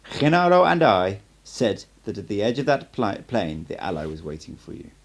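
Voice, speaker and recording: Male narrator as patient sorcerer teacher in an audiobook: lowish pitch, animated contours, emphatic, measured, cryptic. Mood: solemn, emphatic.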